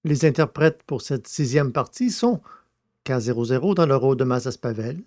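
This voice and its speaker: A man's voice: medium pitch, lively intonation, normal speed, a little mellow. A man reading scripted narration as the narrator and presenter of an audio drama podcast. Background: music.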